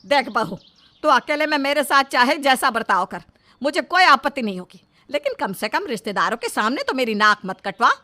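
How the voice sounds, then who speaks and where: A woman acts an indignant older mother-in-law from a family storybook tale. Her voice is bright and forceful, raised high, as she scolds and complains in exasperation. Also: sing-song, brisk, heavily acted. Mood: exasperated.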